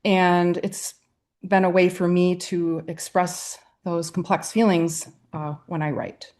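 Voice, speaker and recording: A woman's voice: on the low side, flat delivery, takes it slowly, a little mellow. A middle-aged woman from Wisconsin, a poet and writer, answering interview questions in an earnest, reflective way. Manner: earnest and reflective, with light laughs.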